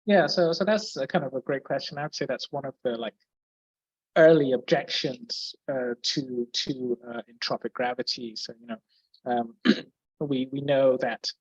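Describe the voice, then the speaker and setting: A man's voice: mid-range voice, a little slow, dry recording, muffled recording. Hesitant man with an English accent. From Brazil. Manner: hesitant, many fillers and restarts, clears throat often. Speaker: guest physicist. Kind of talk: explaining physics in a Q&A.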